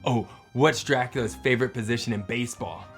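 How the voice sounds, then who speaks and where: A man's voice: mid-range voice, a little fast, fairly bright tone, faint music behind. A man bantering playfully as a comic co-reader of children's books, with silly, exaggerated reactions.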